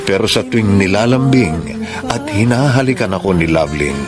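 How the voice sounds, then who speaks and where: Man acting a cheating husband in a radio drama, lowish earnest voice, music playing under him. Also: lively intonation, moderate pace, natural voice. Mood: confessional, guilty. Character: earnest married man confessing forbidden love, unfaithful husband. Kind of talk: Filipino radio drama acting and confessional narration.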